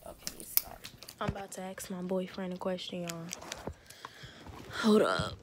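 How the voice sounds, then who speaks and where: A woman's voice: lowish voice, measured pace, heavy background noise. A young woman vlogging casually to a phone at arm's length, playful and teasing, in a car and then a small room. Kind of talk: casual chat to camera. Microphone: phone camera at arm's length.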